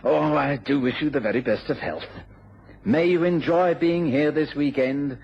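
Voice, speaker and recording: A man's voice: on the high side, normal speed, creaky, husky. An actor playing a kindly, effusive middle-aged country clergyman with a British accent, in old radio drama style. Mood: warm, delighted. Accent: British English. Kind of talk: 1950s-60s BBC radio drama acting. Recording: muffled old radio recording, faint noise.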